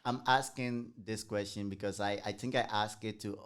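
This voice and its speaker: A man hosting a podcast interview, with a raspy voice, stumbling over words and joking. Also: fairly low pitch, fairly even intonation, a bit bright. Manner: halting, repeats words, jokey. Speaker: podcast host. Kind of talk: casual interview chat.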